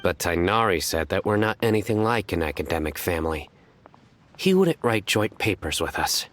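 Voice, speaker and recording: A stern, proud young man in a fantasy game. His voice is deep and solemn with a deadpan delivery, over faint background ambience. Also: moderate pace, slight background noise. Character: stern deadpan young man who tells bad puns. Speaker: video game character. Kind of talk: scripted game dialogue acting.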